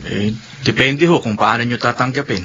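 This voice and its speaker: Male actor as earnest young lover, bright tense voice, pleading, hesitant, radio drama, muffled recording. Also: medium pitch, moderate pace, a little hiss or hum, close to an everyday voice. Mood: earnest, nervous. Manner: pleading, hesitant, stammers when nervous. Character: earnest young man in love with older woman. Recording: dull lo-fi audio, faint music behind.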